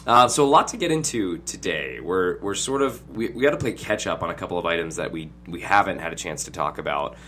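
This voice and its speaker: Hoarse young man hosting a podcast. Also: low voice, lively intonation, fairly quick, few pauses, sharp tone, slight background noise. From Ohio, USA. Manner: rambling, jokey, lots of fillers. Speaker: podcast host. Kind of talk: casual podcast chat.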